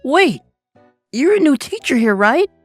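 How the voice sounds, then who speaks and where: A friendly young cafeteria lad, put on by a man, eager and polite. The voice is pitched up high and sounds deferential, as in a manga dub, with music underneath. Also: big pitch swings, a little slow, no room sound, exaggerated voice.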